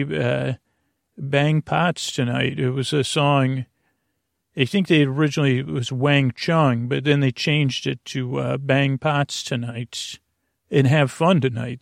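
A man's voice: mid-range voice, fairly even intonation, unhurried, gravelly, no echo, clean studio sound. A man hosting a bedtime podcast in a meandering, stammering monologue full of whimsical, goofy tangents. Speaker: podcast host.

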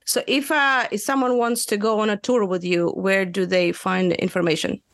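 A woman's voice: medium pitch, little pitch movement, moderate pace, no echo, studio quality. A woman co-hosting a history podcast, opening the episode and putting friendly questions to a guest. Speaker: podcast co-host. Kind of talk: scripted podcast intro and interview questions.

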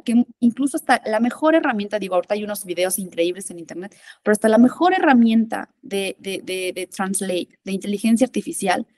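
A woman's voice: a little high, a little mellow. A woman speaking as a guest expert in a conversational interview, emphatic and often repeating words as she searches for them.

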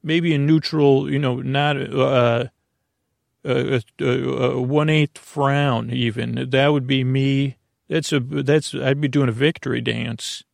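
Male sleep-podcast host, mid-pitched with plenty of vocal fry, halting and digressive bedtime monologue. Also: steady tone, no echo, studio quality. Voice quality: creaky. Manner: meandering, halting, trailing off mid-thought. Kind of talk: rambling bedtime monologue meant to lull listeners to sleep.

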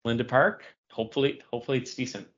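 Bright, forceful man chatting on a lo-fi podcast. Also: mid-range voice, fairly even intonation, fast talker. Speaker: podcast co-host. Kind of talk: casual banter about comics and pop culture. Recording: dull lo-fi audio.

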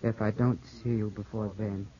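An actor playing a suspicious, tormented young gentleman, intense and dramatic in 1950s radio drama style. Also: on the low side, flat delivery, moderate pace, close to an everyday voice. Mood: grave. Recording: muffled, hissy old 1950s radio broadcast.

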